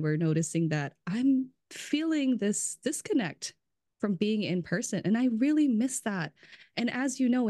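A woman's voice: mid-range voice, dark tone, no room sound. Chatty woman on a dry mic. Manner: chatty, fills speech with "like", laughs often. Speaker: brand strategist guest. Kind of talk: casual podcast chat.

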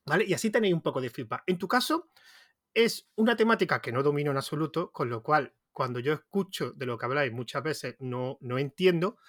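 A man's voice: on the high side, expressive, brisk, fairly bright tone, no echo. Andalusian man, interviewing, dry sound. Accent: Andalusian Spanish. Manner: chatty, rambling, restarts often, laughs easily. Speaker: podcast interviewer. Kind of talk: casual conversational interview.

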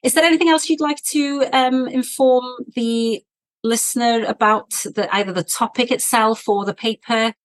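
A woman's voice: on the high side, fairly even intonation, normal speed. A woman hosting an academic podcast, asking long questions with encouraging remarks and many fillers. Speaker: podcast host, professor.

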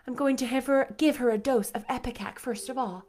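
A woman's voice: sits high, a little fast, pitched above the performer's own voice. A woman voicing an imaginative, talkative young girl in a storybook reading, earnest and bookish. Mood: brisk, confident. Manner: earnest, bookish, bright and chatty. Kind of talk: audiobook character voice.

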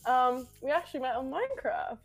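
A woman's voice: sits high, sing-song, brisk, loud music behind the voice. A woman in her twenties from Italy, chatting casually on a podcast with friends. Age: 20s. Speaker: podcast co-host. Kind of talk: casual chat.

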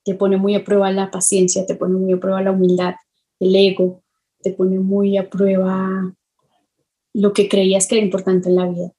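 A woman, speaking reflectively in an interview, thoughtful and halting as she searches for words. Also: mid-range voice, completely flat delivery, dark tone, dull audio. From Colombia. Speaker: illustrator, podcast guest.

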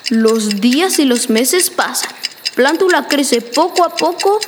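A woman's voice: high voice, expressive, slow, long reverb tail, background noise. A woman narrating a school radio play in a storybook style.

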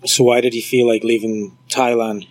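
An easygoing man in the middle of the male pitch range asks questions and chats casually. He often answers with short affirmations. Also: level tone, moderate pace. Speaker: interviewer. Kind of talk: casual chat.

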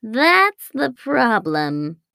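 A woman's voice: high voice, lively intonation, takes it slowly, no echo, studio quality, voice pushed up, exaggerated voice. A woman voicing a small, anxious, apologetic male toy man in a children's story, with a fretful, pleading manner.

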